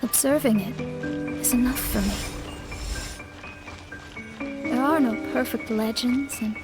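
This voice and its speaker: A woman playing an earnest, wistful young astrologer in a video game, speaking in a measured, theatrical way. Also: on the high side, natural voice. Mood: solemn, resolute. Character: earnest young female astrologer, proud, wistful believer in fate. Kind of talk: dramatic video game dialogue. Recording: game music behind the voice. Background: background music.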